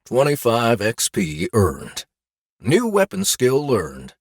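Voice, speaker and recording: A man's voice: medium pitch, wildly swooping pitch, takes it slowly, breathy edge, no echo, full character voice. A man voicing an impersonal game-system announcer, giving formal, clipped notifications in a fantasy audiobook. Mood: official announcing.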